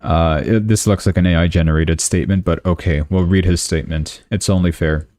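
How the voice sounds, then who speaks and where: A young man from Canada hosts a casual live news stream, thinking aloud and correcting himself as he goes. His voice sits very low, dark and mellow, and the sound is dry and close. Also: fairly even intonation, a little fast, no echo.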